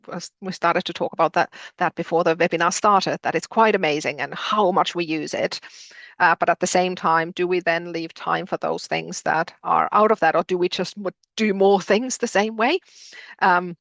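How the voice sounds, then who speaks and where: Finnish-accented woman with a gravelly low voice. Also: fairly bright tone, no echo. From Finland. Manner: hesitant, many ums and restarts. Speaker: presenter. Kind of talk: webinar presentation.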